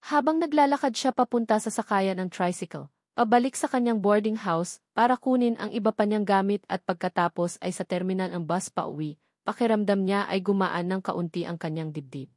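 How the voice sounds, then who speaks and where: A woman's voice: medium pitch, a little fast, darkish tone, no room sound, studio quality. A woman with a Filipino accent reading a scripted romance story as a dramatic narrator.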